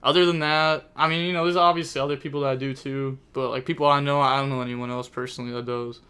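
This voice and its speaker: A man's voice: medium pitch, little pitch movement, fast, pushed and bright, clean tone. A man hosting an online card game tournament on a live stream. He calls the turns play by play and chats loosely with viewers in a rambling way, full of "like" and "I mean". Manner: chatty, rambling, frequent "like" and "I mean". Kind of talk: casual live-stream commentary and chat reading.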